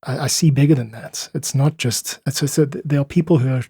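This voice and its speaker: A man's voice: mid-range voice, a little fast, mellow, husky, no room sound, studio quality. A South African man speaking earnestly and thoughtfully in a reflective interview, voicing his opinions as a guest. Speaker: podcast guest, independent musician.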